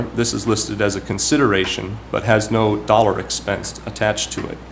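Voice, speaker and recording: Low-voiced councilman reading formal remarks. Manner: formal, measured, deliberate. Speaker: town councilman. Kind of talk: prepared public remarks at a council meeting. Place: municipal meeting room. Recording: clearly noisy public meeting recording.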